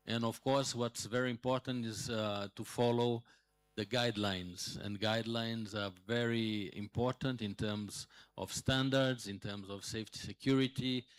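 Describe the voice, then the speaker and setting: A man in his sixties giving a conference talk as a hotel manager, pausing often with fillers and restarts. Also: lowish voice, dry recording. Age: 60s. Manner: hesitant, many fillers and restarts.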